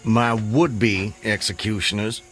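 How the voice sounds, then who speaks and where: A man's voice: lowish voice, fairly even intonation, takes it slowly, fairly bright tone. An actor playing an earnest, haunted man who escaped his hanging, recounting it in formal, vivid language for an audio drama. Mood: defensive, unsure. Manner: literary, vivid, formal narration. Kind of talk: audio drama monologue and dialogue. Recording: music under the voice. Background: music.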